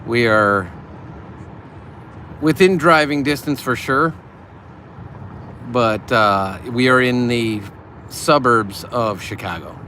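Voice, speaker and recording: Chatty middle-aged man, mid-pitched. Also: slow pace, pauses often, pushed and bright, slight background noise. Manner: chatty and upbeat, laughs easily, addresses viewers by name. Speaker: livestream host. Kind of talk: casual live chat reading viewer comments.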